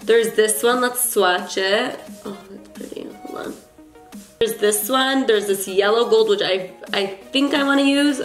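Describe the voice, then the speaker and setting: A woman's voice: on the high side, measured pace. Young woman, cold-stuffed nasal voice, chatty beauty vlogger, echoey room, faint music. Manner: chatty and gushing, with filler ums. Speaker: makeup artist and beauty vlogger. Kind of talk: casual makeup tutorial chat.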